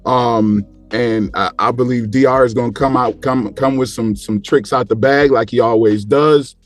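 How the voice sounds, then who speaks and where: A man with an average-pitched voice gives his take as a guest on a casual sports panel. He speaks haltingly, often repeating words while he builds up a point. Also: fairly even intonation, no room sound, faint music behind.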